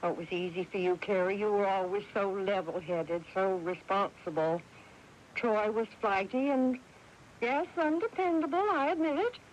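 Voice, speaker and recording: An American woman playing a doting, indulgent mother of grown sons in a radio drama, coaxing and gently insistent, on a hissy 1980s AM recording. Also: medium pitch, moderate pace, muffled recording, close to an everyday voice. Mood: fond coaxing. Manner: coaxing, excusing.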